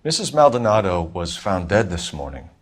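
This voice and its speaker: An actor playing a polite, formal 1940s police detective with an American accent in a video game, with clipped, courteous speech. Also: male voice, low-pitched, very animated, normal speed. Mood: polite, sober. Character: polite, sharp-tongued male 1940s LAPD detective. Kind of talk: naturalistic video game acting.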